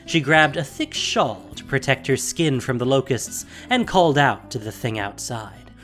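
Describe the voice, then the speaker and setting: Male narrator, scripted fantasy drama, measured, dramatic pauses, medium pitch, faint music behind. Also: lively intonation, fairly bright tone.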